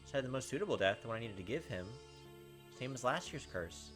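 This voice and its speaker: A man's voice: medium pitch, lively intonation, fast talker, a bit bright. A man reading a visual novel's narration aloud as he plays, adding short side comments of his own. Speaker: gaming channel host doing a playthrough. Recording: game music behind the voice. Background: visual novel background music.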